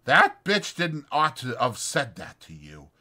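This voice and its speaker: A man's voice: mid-range voice, huge pitch swings, measured pace, bright, forceful tone, voice pushed up, exaggerated voice. A man voicing an anxious, kindly old ranch hand with folksy rural speech in a dramatized audiobook. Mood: eager, folksy. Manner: folksy rural American dialect.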